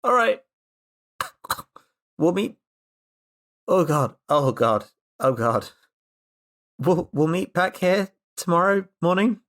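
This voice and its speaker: A man's voice: sits high, expressive, slow pace, pauses often, fairly bright tone, voice pushed up, full character voice. A man voicing an anxious, reluctant schoolboy, whiny and hesitant, in a tabletop game. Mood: queasy resigned.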